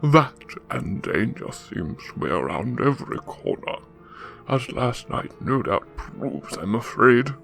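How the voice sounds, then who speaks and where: An actor playing a suave, pompous older crime boss with an oily, formal manner in a radio drama. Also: male voice, mid-range voice, big pitch swings, takes it slowly, vocal fry, a bit bright, faint music behind, exaggerated voice. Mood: knowing, wry.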